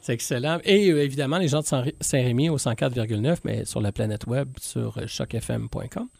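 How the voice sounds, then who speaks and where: A man's voice: medium pitch, brisk, vocal fry, darkish tone, no room sound. A man with a Québécois French accent hosting a radio interview in the studio, chatty and joking as he asks his questions. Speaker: radio host.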